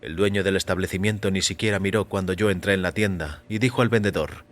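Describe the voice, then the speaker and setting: A man reading scripted first-person fiction as narrator, in a measured, composed manner. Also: low-pitched. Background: music.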